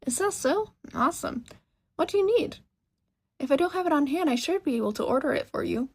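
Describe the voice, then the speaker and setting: Woman putting on an excitable, high-pitched cheery shopkeeper. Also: brisk. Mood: cheerful. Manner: exclamatory, eager, gushing.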